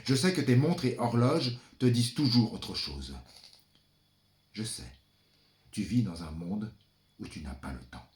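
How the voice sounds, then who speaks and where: A man acts out a brooding son who is ferryman of the dead. The voice sits fairly low and moves very slowly. The delivery is deliberate and dramatic, an incantation addressed to a father. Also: animated. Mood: hushed, solemn. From France. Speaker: stage performer. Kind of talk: theatrical monologue reading.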